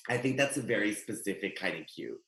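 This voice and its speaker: Animated male stand-up on a webcam; bright tone, roomy echo. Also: on the low side, a little fast, vocal fry. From Guatemala. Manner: chatty, animated, gesturing. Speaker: stand-up comedian. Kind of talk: stand-up set. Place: home room. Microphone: webcam, video call. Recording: echoey home room.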